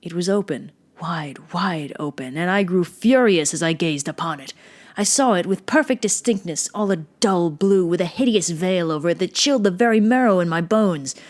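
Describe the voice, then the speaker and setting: A woman performing a dramatic gothic horror reading as a mad, obsessive murderer who insists on being sane, theatrical and deliberate, moving between hushed and swelling tones. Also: on the low side, expressive, natural voice. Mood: menacing, hushed, then furious, horrified.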